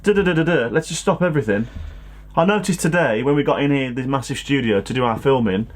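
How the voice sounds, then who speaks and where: A man's voice: mid-range voice, fast, slight background noise. A man with a British accent co-hosting in casual comic banter. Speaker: co-host.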